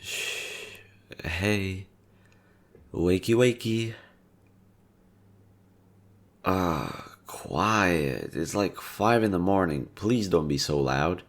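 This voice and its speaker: Young man, extremely deep voice, laid-back teasing audio roleplay, soft-spoken. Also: expressive, drawn-out words. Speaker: voice actor.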